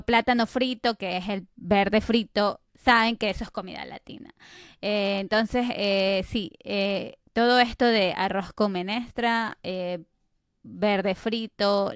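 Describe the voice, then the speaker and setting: A woman's voice: mid-range voice, a little slow, smooth, no room sound, clean studio sound. A woman from Ecuador co-hosting a podcast, chatting casually with frequent fillers. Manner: conversational, many fillers like "este" and "eh". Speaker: podcast co-host.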